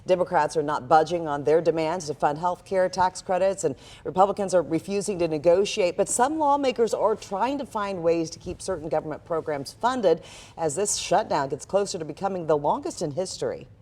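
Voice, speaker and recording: Female American morning news anchor, scripted delivery, voice on the lower side. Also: brisk, darkish tone.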